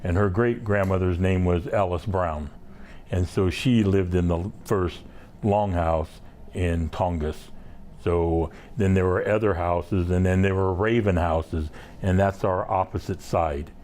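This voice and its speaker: Male guest from Southeast Alaska, deep and slow, relaxed storytelling. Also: a little hiss or hum. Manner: slow, unhurried, halting with many fillers. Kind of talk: casual interview storytelling.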